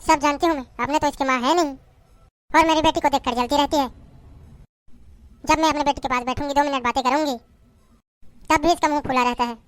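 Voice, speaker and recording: A woman's voice: high voice, fairly even intonation, a little fast, long pauses, dry recording, lightly acted. A woman playing a harsh middle-aged mother-in-law who berates and taunts her daughter-in-law in a family drama. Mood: bitter.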